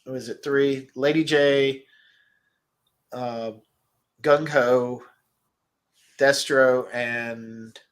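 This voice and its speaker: A man's voice: medium pitch, extremely slow, pauses often. Stuffed-up nasal man chatting casually. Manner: relaxed, chatty, reads viewer comments aloud. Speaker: host. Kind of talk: casual livestream chat about toys.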